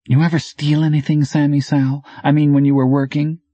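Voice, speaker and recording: A man's voice: on the high side, little pitch movement, a little fast, mellow, no room sound, pitched above the performer's own voice, lightly acted. A man voicing a scrappy, defiant but scared young female bike messenger, terse and earnest, in an audiobook. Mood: confessing. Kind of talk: audiobook character dialogue.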